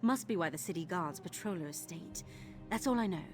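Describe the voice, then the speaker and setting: A woman's voice: lowish voice, lively intonation, brisk, close to an everyday voice. An actress voicing an earnest young woman thief searching for her missing father in a video game, confiding in tone. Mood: worried, determined. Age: young adult. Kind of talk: video game character dialogue. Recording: game music behind the voice.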